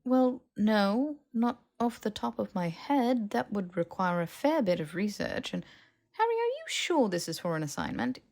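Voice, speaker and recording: A woman voicing a brisk, bookish, sceptical young woman in an audiobook, explaining and questioning with precision. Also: mid-range voice, very animated, a little mellow, pitched above the performer's own voice. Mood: thoughtful, explaining. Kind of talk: audiobook character dialogue.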